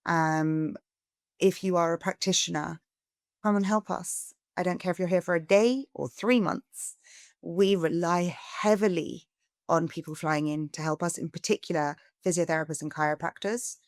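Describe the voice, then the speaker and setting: A middle-aged woman from England, a charity founder giving a podcast interview, earnest and growing animated as she asks for help. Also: lowish voice, moderate pace, no echo, studio quality. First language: English.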